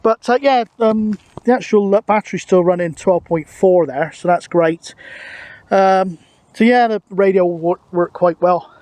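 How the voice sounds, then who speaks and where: Brit guy, pretty high voice, really mellow, casual chat outside, easygoing. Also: measured pace, no echo. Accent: British. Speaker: vlogger and CB radio operator. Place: outdoors on a grassy hilltop.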